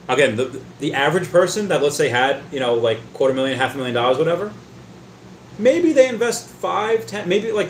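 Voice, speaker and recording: A man's voice: medium pitch, slight background noise. A man hosting a casual livestream, reading out chat comments and rambling, often stammering and repeating his words. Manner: rambling, talkative. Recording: roomy echo.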